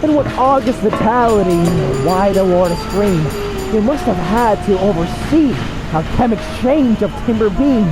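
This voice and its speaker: A man voicing an excitable, scatterbrained young male cat with a florid, rambling, theatrical delivery in a comic dub. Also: sits high, moderate pace, over loud music. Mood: grandiose, poetic. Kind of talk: animated comic dub acting.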